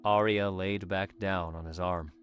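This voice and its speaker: A man with a very low-pitched voice narrates an audiobook. He reads scripted story prose, and quiet music plays underneath. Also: fairly even intonation, measured pace.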